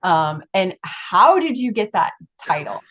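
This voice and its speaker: A woman's voice: mid-range voice, very animated, unhurried, a bit bright, a little hiss or hum. A woman hosting a podcast, speaking in a casual, conversational interview style with effusive enthusiasm.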